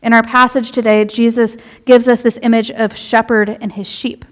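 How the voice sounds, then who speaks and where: A woman's voice: a little high, flat delivery, moderate pace. Chatty young woman giving a sermon. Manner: chatty, relatable, asks the congregation questions. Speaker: youth minister preaching. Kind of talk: casual conversational sermon. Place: church sanctuary.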